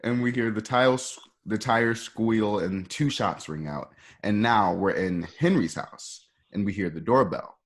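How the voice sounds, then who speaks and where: A man's voice: lowish voice, unhurried, hardly any pauses. A man informally narrating stage directions for a radio play in a conversational, ad-libbing way.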